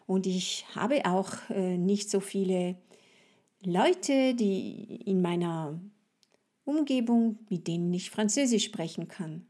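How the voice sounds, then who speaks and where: A woman with a French accent leads a podcast language lesson at an unhurried, slow pace. Her voice is soft-edged and mellow, with some huskiness. She explains things deliberately, addressing the listener directly as a coach would. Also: medium pitch, expressive.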